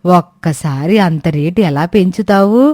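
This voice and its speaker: Woman voicing a grumbling old village woman, low-pitched. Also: unhurried, dark tone, no echo, studio quality. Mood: protesting. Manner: complaining, argumentative. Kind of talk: acted dialogue in a moral fairy tale.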